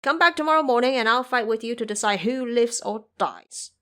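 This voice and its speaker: A woman voicing a cunning dark male monster king who talks with courtly politeness, in a storybook reading. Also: on the high side, fairly quick, close to an everyday voice. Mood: commanding.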